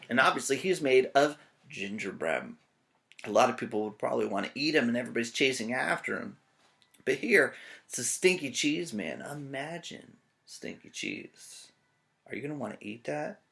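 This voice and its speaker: A man reading aloud to children in a joking, expressive manner. His pitch swings widely and his voice often drops into creak. He breaks off for playful asides like a teacher.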